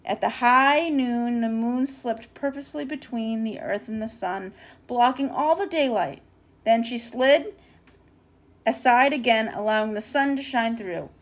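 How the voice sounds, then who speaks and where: High-voiced woman reading a storybook aloud. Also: unhurried. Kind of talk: expressive children's storybook read-aloud.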